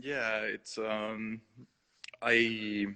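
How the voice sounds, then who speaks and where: Peruvian-accented male artist, low flat voice, hesitant delivery with frequent fillers. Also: drawn-out words, noisy background. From Peru. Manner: hesitant, many fillers and restarts. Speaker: artist guest. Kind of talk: casual live interview.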